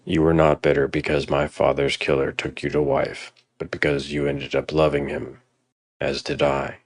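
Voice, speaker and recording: Man acting very deep, grave Norse warrior. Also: flat delivery, dry recording. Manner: solemn, measured, firm. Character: grave, steadfast Norse warrior chieftain Sigurd. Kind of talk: radio drama acting.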